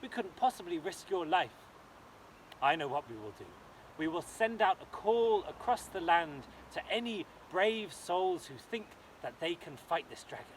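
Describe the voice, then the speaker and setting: A man's voice: sits high, big pitch swings, a little slow, slight background noise, voice pushed up, rough recording. A man voicing a frustrated, angry old king in a told story. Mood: commanding. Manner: fretful, exclaiming.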